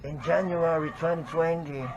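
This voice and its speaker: A man from the Philippines, a pro wrestler cutting a slow, deliberate, menacing promo against a rival. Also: on the high side, steady tone, highs cut off, background noise.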